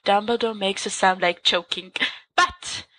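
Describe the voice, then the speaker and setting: A woman narrating a story aloud in an expressive, lively reading. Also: mid-range voice, normal speed, no echo. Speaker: podfic reader.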